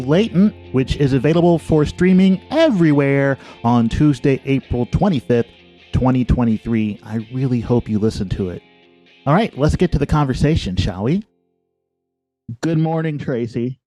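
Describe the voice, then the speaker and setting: A man's voice: mid-range voice, dark tone. An American man hosting a podcast interview, upbeat and friendly with his questions. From Dayton, Ohio. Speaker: podcast host.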